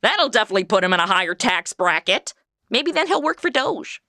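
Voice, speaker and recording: A woman's voice: mid-range voice, big pitch swings, fairly quick, sharp tone, no echo, studio quality. A woman playing a sassy, food-obsessed modern young woman in a comedy audio drama, cracking snarky wisecracks.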